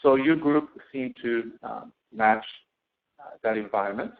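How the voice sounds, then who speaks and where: A man with a creaky, gravelly voice at a middling pitch talks students through an on-screen tutorial. He is an instructor who speaks haltingly, repeating words as he goes. The audio is narrow and thin, like a phone line. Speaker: course instructor.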